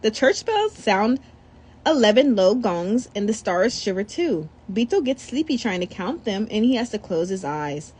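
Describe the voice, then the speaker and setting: Lively, slightly husky woman reading aloud. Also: mid-range voice, moderate pace. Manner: animated, trips over words and comments between pages. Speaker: storybook reader. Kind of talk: children's read-aloud.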